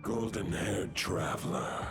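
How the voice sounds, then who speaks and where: An actor playing an ancient, solemn great wolf spirit in a video game, with a gravelly, breathy growl and grave, archaic, formal speech. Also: male voice, deep voice, animated, slow pace, over music.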